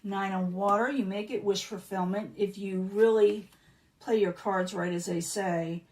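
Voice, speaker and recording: Woman, tarot reader, hoarse, throat-clearing, chatty, um fillers, distant mic. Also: mid-range voice, fairly even intonation, a little slow, darkish tone. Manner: chatty, repeats herself, many um fillers. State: losing her voice, clearing throat. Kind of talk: casual tarot card reading.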